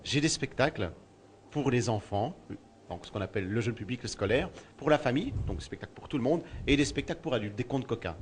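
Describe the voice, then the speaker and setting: A man's voice: medium pitch, lively intonation, fast, slight background noise. A man born in Belgium who works as a storyteller, answering interview questions in a thoughtful way and often repeating words while he looks for the next one. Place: outdoors at a festival.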